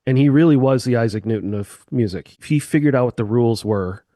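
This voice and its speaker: A man of middle pitch talks through ideas with eager, sweeping comparisons, like a musician guest on a podcast. His voice sits close and dry on the mic, with no room around it. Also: brisk, dark tone, no echo. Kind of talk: casual explanatory chat.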